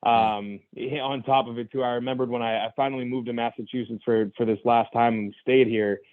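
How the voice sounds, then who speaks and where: Young adult man, guest. Earnest, quick, restart-heavy storyteller on a dry, tinny phone-like line. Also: medium pitch, fairly even intonation, dry recording. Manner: earnest, reflective, full of restarts and "you know". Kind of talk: candid personal story in conversation.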